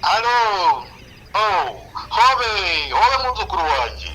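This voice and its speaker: Bright, affectionate old Rwandan grandfather. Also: high-pitched, big pitch swings, unhurried, slight background noise, exaggerated voice, low-quality recording. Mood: joyful, teasing. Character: affectionate, joking elderly grandparent on the telephone. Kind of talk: radio drama dialogue.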